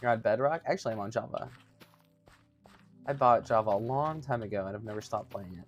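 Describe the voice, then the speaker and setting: A man in his thirties chats casually with livestream viewers in a somewhat high voice, giggling often and poking fun at himself. Faint music plays softly behind him. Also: brisk, lo-fi sound. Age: 30s. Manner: giggly, chatty, self-mocking. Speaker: streamer.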